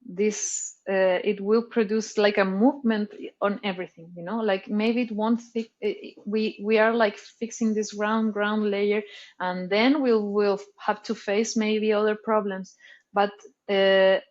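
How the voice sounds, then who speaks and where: A woman's voice: mid-range voice, steady tone, measured pace, darkish tone, lo-fi sound. A woman from Chile, a guest in a casual interview, thinking aloud and searching for words. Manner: thoughtful, searching for words with many "like"s and restarts. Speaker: artist guest.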